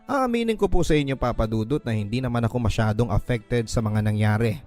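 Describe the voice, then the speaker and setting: A man's voice: medium pitch, a little fast, darkish tone. A man with a Filipino accent narrating a first-person letter as dramatic radio storytelling. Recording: background music.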